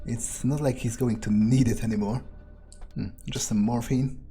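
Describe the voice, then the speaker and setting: A man's voice: mid-range voice, steady tone, normal speed, mellow, close to an everyday voice. A man playing a cocky, nonchalant vampire, muttering his thoughts aloud in character as game commentary. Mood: cocky, casual. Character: nonchalant, cocky male vampire, casually menacing. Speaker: gameplay streamer role-playing a character.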